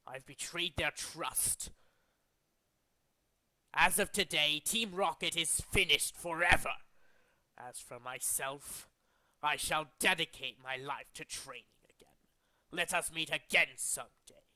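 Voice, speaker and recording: A man voicing a proud, defeated male crime boss from a video game, speaking in slow, formal, dramatic declarations. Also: on the high side, sharp tone, no echo, rough recording. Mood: solemn resignation. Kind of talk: video game villain character acting.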